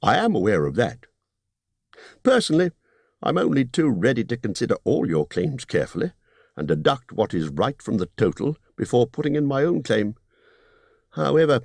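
A man voicing a fussy, polite male hobbit burglar who talks in a businesslike, slightly squeaky way. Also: very animated, moderate pace, gravelly, darkish tone, no echo.

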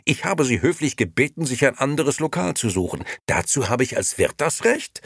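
A man's voice: fairly low pitch, animated, a little fast, a bit bright, dry recording, clean studio sound, voice pushed up. A man voicing a nervous, irritable, ambitious male innkeeper who justifies himself defensively, in an audiobook. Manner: agitated, defensive, self-justifying. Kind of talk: audiobook character voice.

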